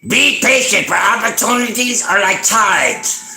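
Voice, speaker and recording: An excitable comic character, put on by a man, with a high, bright voice that exclaims every phrase. The delivery is playful and emphatic, in a roomy echo on a livestream. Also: unhurried, creaky, heavily acted. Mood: excited, playful.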